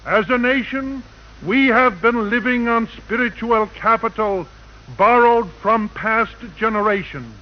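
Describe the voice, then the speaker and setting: Male clergyman, high pitch, very slow solemn oratory, lo-fi 1940s radio broadcast. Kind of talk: formal religious radio address. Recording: dull lo-fi 1940 radio broadcast.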